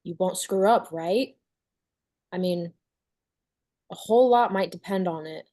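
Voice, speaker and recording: Weary, secretive teen heroine played by a woman for horror table read; soft-edged, mellow tone, anxious but stubborn. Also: fairly low pitch, unhurried, lightly acted. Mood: earnest, pleading.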